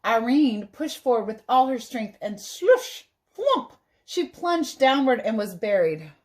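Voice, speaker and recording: Expressive higher-voiced woman reading to children. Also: a little slow. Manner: animated, child-directed, dramatizes the story with teacherly commentary. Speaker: teacher reading a picture book aloud to children. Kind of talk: expressive storybook read-aloud with explanatory asides.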